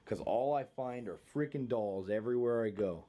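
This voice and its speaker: A man investigating a haunted house, talking in excited, startled bursts. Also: medium pitch, normal speed. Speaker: paranormal investigator. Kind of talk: ghost-hunting video talk.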